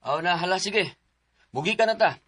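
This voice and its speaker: A man's voice: sits high, animated, normal speed, bright, forceful tone, husky, full character voice. A man playing a protective, concerned husband and father in a radio drama. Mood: brisk, caring.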